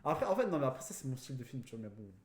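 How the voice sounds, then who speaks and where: A man's voice: mid-range voice, sing-song, very fast. A man co-hosting a podcast, chatting casually in slangy French mixed with English words. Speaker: podcast co-host. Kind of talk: casual film chat among friends. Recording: roomy, echoing room sound.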